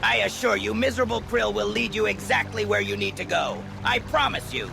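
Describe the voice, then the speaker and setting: A man voicing a sly, cowardly little goblin in a fantasy game, gravelly and pleading in broken, servile phrases. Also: sits high, brisk. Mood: oily reassurance. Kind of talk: fantasy game cutscene acting. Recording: music behind the voice.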